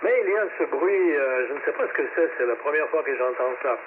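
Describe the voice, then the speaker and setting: A man's voice: on the high side, animated, a little fast. A man running an amateur radio net, talking hesitantly with many filler sounds and spelling out call signs. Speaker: net control operator of a ham radio net. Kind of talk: ham radio net chat, switching languages. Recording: tinny shortwave radio with static.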